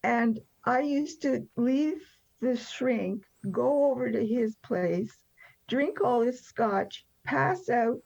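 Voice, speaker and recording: A woman's voice: a little high, takes it slowly, vocal fry, darkish tone. A woman in her seventies telling personal stories as an interview guest, conversationally, in a candid, wry and emphatic way. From born in France. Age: 70s. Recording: dull, lo-fi sound.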